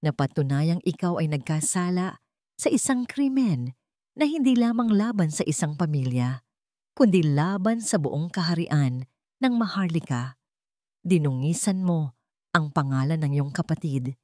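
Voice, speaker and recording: A woman's voice: low-pitched, expressive, unhurried, mellow, no echo, studio quality. A woman voicing a stern, solemn king in a dramatic story, speaking with cold, grave authority. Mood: cold, commanding. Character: stern, solemn male king passing judgment.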